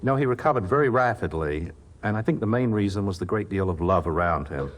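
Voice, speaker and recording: Lower-pitched man recounting. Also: expressive, brisk. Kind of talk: recounting a recovery story.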